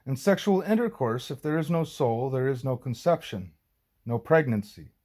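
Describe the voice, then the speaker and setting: A man narrates a religious text in an audiobook style. His voice sits at an average male pitch. He reads formally, with measured and deliberate phrasing that sets each clause apart. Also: lively intonation, a little mellow. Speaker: reader of a spiritual text.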